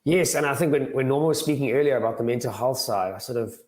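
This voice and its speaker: A young man with a slightly croaky voice, answering interview questions earnestly and often repeating words as he starts sentences. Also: medium pitch, quick pace, darkish tone. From South Africa. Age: young adult. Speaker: guest financial planner.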